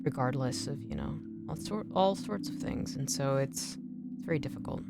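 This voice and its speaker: A young woman streamer with a slightly raspy, nerdy voice, chatting casually and encouragingly to her viewers in a rambling way. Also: extremely low pitch, expressive, normal speed. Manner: rambling, encouraging, lots of "like" and restarts. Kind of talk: casual chat to stream viewers while drawing. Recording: music playing in the background. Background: music playing.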